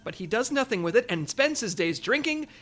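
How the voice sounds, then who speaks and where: A woman's voice: a little high, sing-song, a little fast, a bit bright, faint music behind. A woman playing a businesslike, patient intelligence section chief giving a briefing in a comedy audio drama, authoritative and diplomatic.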